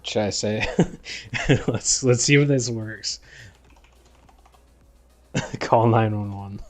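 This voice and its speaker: A man's voice: on the low side, drawn-out words, darkish tone, soft background music. A man chatting casually and slangily as he livestreams a game, half talking to himself. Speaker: livestreamer playing a text adventure game. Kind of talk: casual gaming stream chat.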